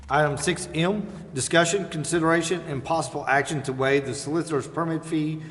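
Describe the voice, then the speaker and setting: A man's voice: a little high, fairly quick, fairly bright tone. A man formally chairing a council meeting, reading out agenda items as the presiding officer. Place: council chambers. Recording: hall reverb of a meeting room.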